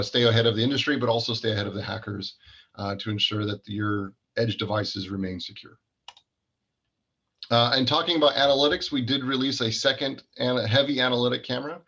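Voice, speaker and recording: A man's voice: lowish voice, fairly bright tone, dull audio. A man giving an informal product presentation in an explanatory, technical manner.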